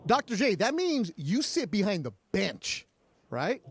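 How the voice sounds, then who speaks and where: A man hosting a postgame radio call-in show who gets loud and high whenever he's fired up. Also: unhurried, a little hiss or hum. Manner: excitable, jumps high in pitch when fired up. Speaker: radio sports show host.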